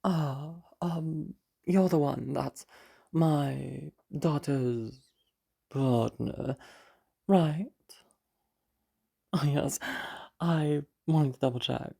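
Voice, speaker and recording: Rich mother, played by a woman for audio drama: condescending, gracious on the surface, passive-aggressive, mellow with some fry. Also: low voice, heavily acted. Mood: condescending, falsely polite. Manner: falsely gracious, haughty, hesitant pauses.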